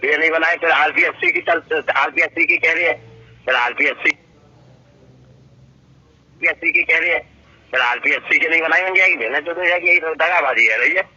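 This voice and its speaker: A man's voice: fairly high pitch, normal speed, pauses often, bright, forceful tone, breathy edge, dry recording. A man with an eastern Rajasthan Bharatpur accent chatting casually and boastfully, rambling and repeating himself on a recorded phone call. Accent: eastern Rajasthan (Bharatpur) regional Hindi.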